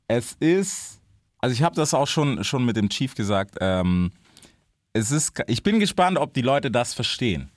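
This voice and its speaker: A man's voice: medium pitch, sing-song, measured pace, dry recording, clean studio sound. A young man hosting a podcast interview, talking in a loose, laid-back way and dropping English slang words into his chat. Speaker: podcast host.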